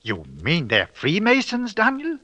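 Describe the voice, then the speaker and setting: An actor playing a wary but loyal rustic British soldier sidekick, folksy and deferential, in a 1940s radio drama. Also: male voice, high voice, huge pitch swings, measured pace, pushed and bright, no echo, muffled recording, exaggerated voice. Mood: worried. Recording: old 1940s radio broadcast.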